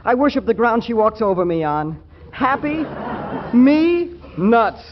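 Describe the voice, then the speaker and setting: High-voiced cocky schoolboy. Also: male voice, measured pace, full character voice. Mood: indignant. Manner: cocky, excitable, slangy. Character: brash, girl-crazy prep school rich boy. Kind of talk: 1940s radio comedy acting. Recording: dull, hissy 1940s radio recording.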